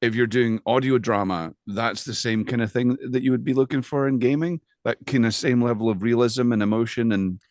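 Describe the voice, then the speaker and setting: A man's voice: medium pitch, fairly quick, hardly any pauses, a little mellow, dry recording, clean studio sound. A man in a casual interview, speaking as an agreeable, affirming co-host.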